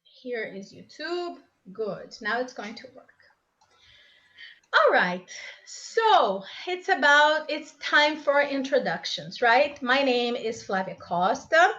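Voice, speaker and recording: A woman's voice: sits high, very animated, takes it slowly, fairly bright tone. A woman from Brazil who is not a native English speaker, an English teacher hosting a live-streamed exam lesson and chatting directly with viewers. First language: Portuguese. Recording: slightly dull live-stream audio.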